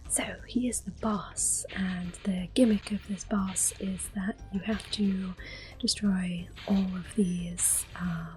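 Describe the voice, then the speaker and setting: A woman giving soft-spoken ASMR game commentary in a gentle, breathy, often whispered murmur. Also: mid-range voice, fairly even intonation, slow, soft background music. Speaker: gameplay host.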